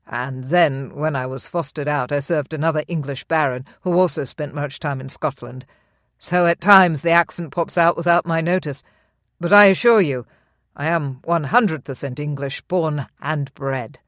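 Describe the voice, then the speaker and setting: A narrator voicing a young English knight who speaks in a steady, measured, reassuring way. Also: medium pitch, no room sound, studio quality, voice pushed down, natural voice. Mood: earnest. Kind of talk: audiobook character reading.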